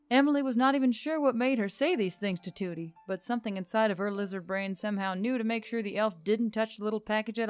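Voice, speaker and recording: A woman reading scripted fiction as a story narrator, in an expressive storytelling style. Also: medium pitch, clean tone, no echo. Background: background music.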